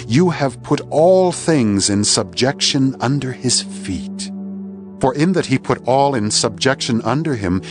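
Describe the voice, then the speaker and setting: A man reads scripture from a script as a narrator, in a solemn, measured and deliberate manner. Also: mid-range voice. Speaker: Bible narrator. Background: background music.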